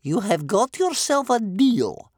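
Storybook role: stern, sarcastic old woman, played by a male reader, high-pitched, slow and spitting. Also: sing-song, darkish tone, no room sound, clean studio sound, exaggerated voice. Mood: stern, commanding. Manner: spitting, slow, drawn-out delivery. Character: bitter, sceptical old grandmother, stern and sarcastic.

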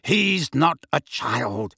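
A man's voice: high-pitched, big pitch swings, a little slow, vocal fry, breathy edge, no echo, pitched above the performer's own voice, heavily acted. Guy doing a defiant godfather in an audiobook, impatient n clipped, itching for a fight, dry sound. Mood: impatient, cold. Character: defiant, impatient adult godfather, cold and combative. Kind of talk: audiobook character voice.

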